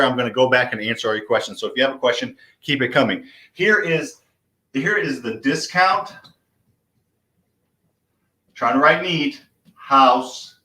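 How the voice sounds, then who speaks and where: A man in his thirties with an American accent coaches viewers on a casual live stream. His voice sits at a middle pitch and he often repeats key phrases for emphasis. The sound is noticeably roomy and echoey. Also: bright, forceful tone. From Missouri, US. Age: 30s. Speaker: host, real estate investing coach.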